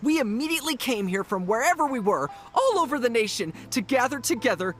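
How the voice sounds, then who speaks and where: A woman's voice: a little high, sing-song, fairly quick, faint music behind. A woman playing a devoted fan club leader in a video game, addressing people in a solemn, rousing, speech-like way. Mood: adoring.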